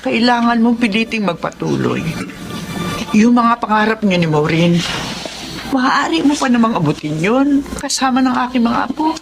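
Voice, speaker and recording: A woman's voice: fairly high pitch, expressive, normal speed, gravelly, noisy background. A woman voicing a stern but loving elderly mother-in-law in a radio drama, firmly scolding. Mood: stern urging. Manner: scolding, firm. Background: background music.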